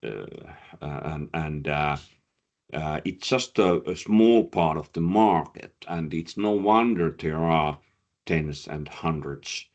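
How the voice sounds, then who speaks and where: Very deep, slow, halting man. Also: muffled recording. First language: Finnish. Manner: halting, many fillers and repeated words. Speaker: career coach and presenter. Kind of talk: answering audience questions after a talk.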